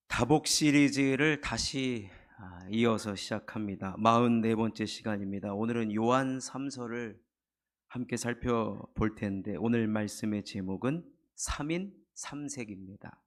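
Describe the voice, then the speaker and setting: A middle-aged man preaching a Sunday sermon in a church, his voice hoarse and husky from a cold, building earnest emphasis. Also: on the low side. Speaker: pastor. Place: church sanctuary.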